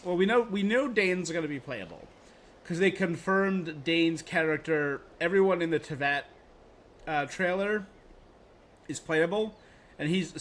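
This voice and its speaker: A man's voice: fairly high pitch, fairly bright tone, a little hiss or hum. A man streaming a game, chatting casually and thinking aloud in a rambling, opinionated way. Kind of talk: casual livestream gaming chat. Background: game music.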